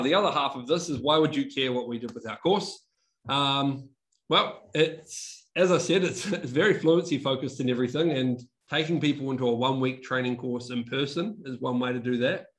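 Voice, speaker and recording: A young man from New Zealand, his accent softened by years in Australia, giving a chatty online conference talk over a video call from a room indoors. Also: mid-range voice. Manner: casual, chatty, restarts words often, laughs at himself. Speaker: conference speaker. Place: room with a whiteboard and brick wall, indoors. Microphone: video call webcam.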